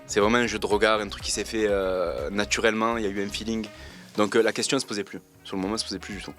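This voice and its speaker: A man, answering interview questions in a relaxed, slangy way and pausing often with fillers. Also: on the low side, faint music behind. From Marseille, France. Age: 20s. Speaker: reality TV contestant interviewed.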